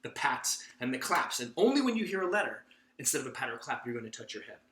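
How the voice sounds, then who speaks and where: A man's voice: medium pitch, very animated, fast talker, a bit bright. A man playfully and emphatically teaching a clapping activity to children, drawing out the words he demonstrates. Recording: echoey room.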